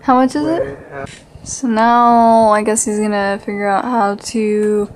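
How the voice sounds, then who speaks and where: A woman's voice: a little high, fairly even intonation, unhurried, slight background noise, rough recording. A woman vlogger talking casually, as if to viewers of her daily vlog.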